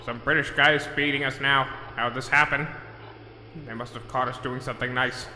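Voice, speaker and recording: A man's voice: mid-range voice, fairly even intonation, moderate pace, sharp tone. A man playing a grandiose, petulant, gloating supervillain in a comic audio drama. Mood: scheming, grumbling. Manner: melodramatic, gloating, pompous. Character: theatrical, grandiose, petulant male cartoon supervillain scheming monsters. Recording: echoey reverberant room with background noise.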